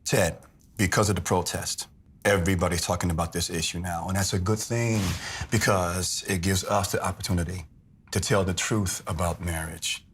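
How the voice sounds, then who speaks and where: Deep-voiced, earnest church activist. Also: male voice, steady tone, moderate pace, a bit bright, a little hiss or hum. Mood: earnest, persuasive. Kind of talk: film drama dialogue.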